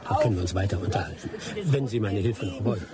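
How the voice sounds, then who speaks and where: A man's voice: lowish voice, fast talker, over a noisy crowd, close to an everyday voice. A man acting in a radio drama as a wry, sardonic British expatriate in the colonial tropics, speaking without hurry. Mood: teasing. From Britain (the figure). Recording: loud music behind the voice.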